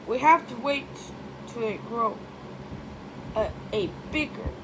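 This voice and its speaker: A kindly old grandpa pig acted by a woman in a children's storybook, patient and slow in his explanations. The pitch is high for an old gentleman, and clear noise sits behind it.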